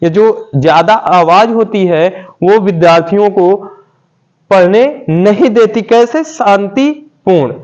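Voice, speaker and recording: A man's voice: high-pitched, takes it slowly, darkish tone. A man with a North Indian accent giving an explanatory lesson as a teacher, repeating points and asking questions that he answers himself. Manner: repetitive, asks and answers own rhetorical questions. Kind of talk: explanatory lesson, English phrases glossed in Hindi. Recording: dull lo-fi audio.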